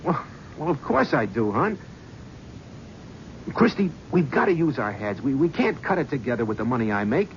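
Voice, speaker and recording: An actor playing a cynical young talent-agency gofer in a 1970s radio drama, narrating and talking in a brusque, flippant, wisecracking way. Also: male voice, mid-range voice, very animated, moderate pace, long pauses. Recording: muffled old radio broadcast, faint hiss.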